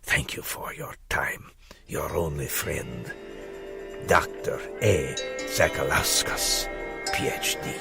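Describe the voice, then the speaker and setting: Guy doing a slow husky low-voiced crackpot professor, pompous and secretive, audiobook drama. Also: loud music behind the voice, exaggerated voice. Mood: urgent conspiratorial. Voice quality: husky, breathy. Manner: pompous, conspiratorial, dramatic pauses, dropping to whispers. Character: obsessive crackpot male scholar writing a grave warning letter.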